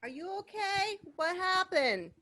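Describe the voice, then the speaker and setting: A woman's voice: very high-pitched, very animated, a little slow, pushed and bright, dry recording, studio quality, full character voice. A woman playing a sulky, needy girlfriend of a gangster in a radio drama. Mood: concerned.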